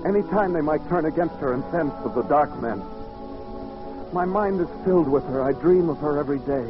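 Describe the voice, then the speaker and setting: A man's voice: a little high, lively intonation, a little fast, lightly acted. An actor playing an earnest, lovestruck man who hides in a department store, in intense, theatrical old-time radio style. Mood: anguished. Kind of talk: 1940s radio acting, narrated journal and dialogue. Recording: muffled old-time radio recording, music behind.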